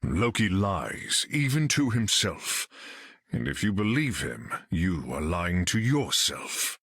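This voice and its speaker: Noble game warrior god: husky, deliberate, stern, formal speech with bold swings. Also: male voice, low voice, sing-song, a bit bright, no room sound, clean studio sound, exaggerated voice. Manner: formal, archaic, solemn. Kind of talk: video game voice acting.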